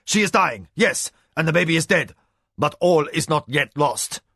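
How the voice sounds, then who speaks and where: A man's voice: medium pitch, big pitch swings, normal speed, fairly bright tone, no room sound, clean studio sound. Man doing a shrewd, blunt spymaster. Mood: forceful. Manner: firm, clipped. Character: shrewd male spymaster, controlled, blunt, sly.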